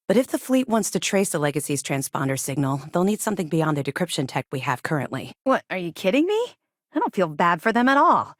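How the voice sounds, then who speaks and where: A dutiful female military officer from a space video game. Her voice sits a little low and is recorded very dry. She speaks frankly, switching between crisp service formality and wry, informal candor. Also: fairly bright tone, no echo. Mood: indignant.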